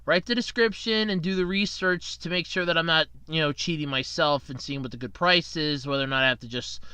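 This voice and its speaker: A man's voice: a little high, brisk, pushed and bright, clear, resonant tone, no echo. A young man hosting a casual solo podcast, rambling and jokey while fighting a cold and tired from night shifts. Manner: rambling, chatty, self-deprecating, jokey. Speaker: podcast host.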